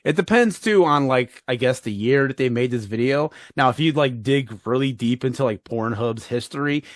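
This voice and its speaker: A man's voice: medium pitch, moderate pace, dry recording, studio quality. A man with a Midwestern accent hosting a podcast in casual chat, rambling, repeating himself and laughing often. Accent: Midwestern American. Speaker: podcast host.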